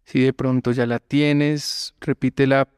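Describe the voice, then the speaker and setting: A man gives calm, measured guided meditation instructions as a yoga teacher. His delivery is very slow, with long pauses between phrases. The voice is smooth and clear, recorded close and dry. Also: medium pitch, dry recording.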